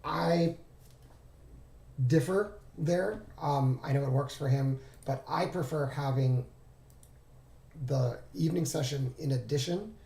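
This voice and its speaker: A man with a raspy, hoarse voice recovering from laryngitis, giving an informal, halting screen-share tutorial as a trading instructor. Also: fairly high pitch, warm tone. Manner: halting, thinking aloud. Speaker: trading instructor reviewing charts. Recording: roomy, echoing voice recording.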